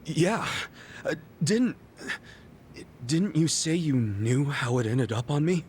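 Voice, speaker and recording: Blunt yakuza underling, swooping pitch. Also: male voice, a little hiss or hum. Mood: confused, shocked. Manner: informal, halting, expressive. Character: blunt, puzzled, good-hearted low-ranking yakuza man. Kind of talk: English video game dub acting.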